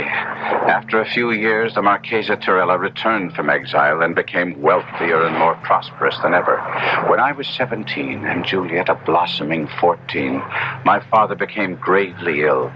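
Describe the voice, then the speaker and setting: A tormented young nobleman played by a male actor tells his own tale in a low, brooding voice with formal, theatrical weight. The old radio horror broadcast is dull and heavily noisy. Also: lightly acted. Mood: solemn reminiscence. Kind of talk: dramatic first-person radio horror narration.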